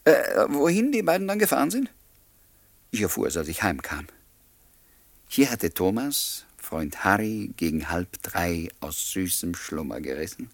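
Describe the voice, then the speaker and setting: A wry first-person narrator in a radio drama. His voice is deep and husky, measured and resigned in manner. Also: big pitch swings, no echo, heavily acted. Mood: wry, reflective. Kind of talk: German radio play narration and dialogue.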